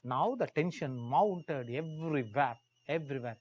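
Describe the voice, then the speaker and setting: A man's voice: a little high, expressive, a little slow, a little mellow, lo-fi sound. A man with an Indian accent gives a history lecture as a teacher, telling it like a story, emphatically repeating key phrases and putting questions to the class. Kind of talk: live classroom lecture told as dramatized story.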